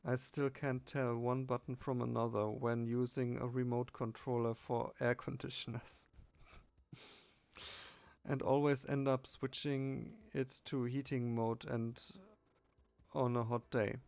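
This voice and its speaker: A man's voice: mid-range voice, little pitch movement, a little slow, creaky, a little mellow, clear, resonant tone, no echo, muffled recording. A man casually playing through a game, reading its text aloud and muttering his own asides. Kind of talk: casual game playthrough with read-aloud dialogue.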